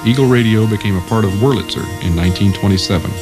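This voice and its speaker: Low-pitched man narrating over music. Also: fast. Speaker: documentary narrator. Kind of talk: scripted narration. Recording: music under the voice.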